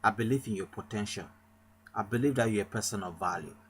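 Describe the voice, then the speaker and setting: A man's voice: lowish voice, quick pace, breathy edge. A man from Cameroon, a pastor, giving an emphatic motivational sermon with a repetitive rallying cadence.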